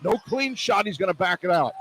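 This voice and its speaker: A man's voice: high voice, animated, brisk. A man calling live basketball play-by-play on the radio in clipped, running descriptions of the action. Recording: noisy live arena broadcast. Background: arena crowd ambience.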